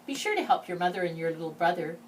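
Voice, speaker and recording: A woman voicing a loving elderly grandmother in a storybook letter, tender and measured. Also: fairly low pitch, animated, recorded from a distance, a little hiss or hum, natural voice. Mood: loving, wistful.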